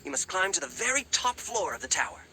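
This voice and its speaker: Game raven: very bright, sharp voice, wildly swooping pitch, pompous, stammering, lovestruck. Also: male voice, sing-song, quick pace, slight background noise, heavily acted. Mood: proud. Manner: stammering, fawning, old-fashioned courtly speech. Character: flustered, lovestruck, pompous talking male raven guide. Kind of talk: animated game character acting.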